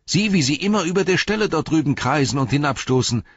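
A man voicing a frightened, excitable young hobbit in a storybook reading, with urgent, breathless exclamations. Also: fairly high pitch, quick pace, breathy edge, dry recording, lo-fi sound, voice pushed up. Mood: alarmed, pleading.

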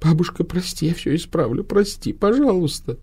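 A young witch in agony, as a male narrator voices her, her words pushed out with effort and raised well above his usual low register. She pleads, strained and desperate, in an audiobook horror tale. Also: expressive, moderate pace, warm tone, breathy edge. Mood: pleading.

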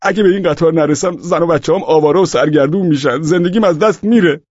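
A man voicing a hurried, desperate traveller who begs urgently in a narrated story. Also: a little high, a little mellow, pitched above the performer's own voice, lightly acted. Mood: desperate pleading. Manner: pleading, urgent. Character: desperate hurried traveller begging officials to release him. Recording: roomy echo, dull lo-fi audio.

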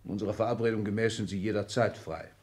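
A man's voice: on the low side, fairly even intonation, fast talker, a little mellow, natural voice. A man acting an imperious older aristocrat in a 1960s German radio play, commanding and condescending in abrupt sentences. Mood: coaxing. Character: imperious older aristocratic Excellency, restless military-minded patriarch.

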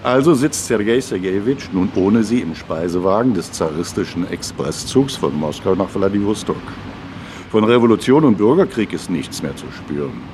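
A man's voice: fairly low pitch, animated, moderate pace, noisy background, lightly acted. A man narrating a scripted radio play in short, emphatic phrases, with music behind him. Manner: clipped, emphatic recap. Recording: music and train sound behind.

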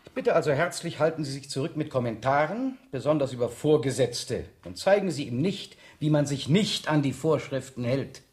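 An actor playing a stern, fussy police superior in a radio crime drama, commanding, formal and sarcastic. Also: male voice, fairly high pitch, animated, normal speed, few pauses, darkish tone, natural voice. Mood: stern, admonishing. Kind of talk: 1970s German radio crime drama acting.

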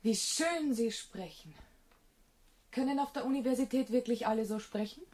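Radio drama, actress as young country gentlewoman, artless, frank, self-assured, fairly high voice. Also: moderate pace, close to an everyday voice. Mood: frankly admiring. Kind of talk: German radio drama acting.

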